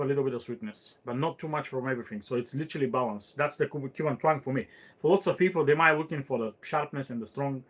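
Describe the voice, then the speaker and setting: Meandering, thoughtful podcast host, man. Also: mid-range voice. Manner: thoughtful, meandering, with frequent fillers and restarts. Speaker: podcast host answering listener questions. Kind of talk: casual chat.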